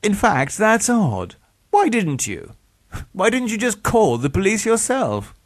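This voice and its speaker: A man's voice: high voice, huge pitch swings, unhurried, pitched above the performer's own voice, heavily acted. A man voicing an arrogant, murderous surgeon in an audiobook, with a sardonic, theatrical and falsely affable manner. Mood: irritated.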